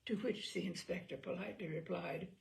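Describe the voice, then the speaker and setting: A woman's voice: fairly low pitch, fairly quick, vocal fry, a little mellow, poor audio. A woman reading a story aloud with dry, wry storytelling. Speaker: reader of stories.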